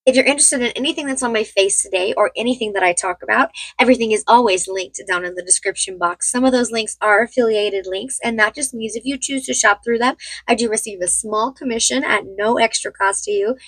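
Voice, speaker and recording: A woman in her thirties with a slightly high voice that sounds hoarse from a cold. She chats in a loose, rambling way and laughs often as she gets ready. The close recording is dry, with no room sound. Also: brisk, a bit bright, no echo, poor audio. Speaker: beauty vlogger. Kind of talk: casual get-ready-with-me chat.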